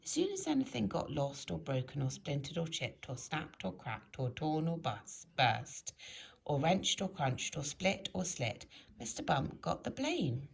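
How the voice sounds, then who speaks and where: A woman reads a children's story aloud in an expressive, emphatic storytelling manner. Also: deep voice, unhurried, vocal fry. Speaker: storybook reader.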